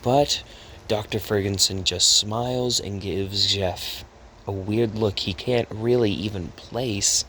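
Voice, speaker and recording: A man narrating an amateur horror story in a measured storytelling manner, with slight stumbles. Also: on the low side, steady tone, fairly bright tone, slight background noise. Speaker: narrator and voice actor of a fan horror dub.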